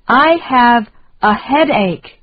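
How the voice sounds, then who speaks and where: A woman reading short phrases slowly and deliberately for learners to repeat. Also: fairly high pitch, expressive, no echo, muffled recording. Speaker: English lesson reader.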